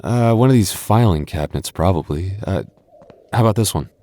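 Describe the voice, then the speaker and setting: A man's voice: low voice, expressive, brisk, dark tone, no room sound. A man voicing a boyfriend in an ASMR roleplay, stammering through restarts and teasing playfully.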